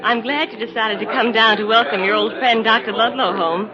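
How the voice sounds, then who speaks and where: Woman playing romantic young heroine, slightly high voice, eager, vintage radio drama, busy crowd behind. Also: fairly quick, lo-fi sound, lightly acted. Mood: excited, dreamy. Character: eager, starry-eyed young female assistant. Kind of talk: 1940s radio acting.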